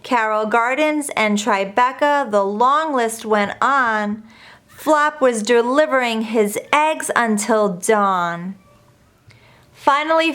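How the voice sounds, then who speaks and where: A woman reading a rhyming children's picture book aloud in an expressive, deliberate storytelling manner. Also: fairly high pitch. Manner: measured, deliberate storytelling delivery. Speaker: storybook reader.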